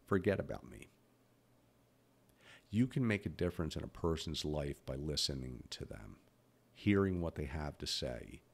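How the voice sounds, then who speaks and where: A man's voice: very deep voice, vocal fry, breathy edge. A man in his fifties who stutters, talking bluntly and candidly about his own life as a podcast host. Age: 50s. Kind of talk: personal reflective monologue.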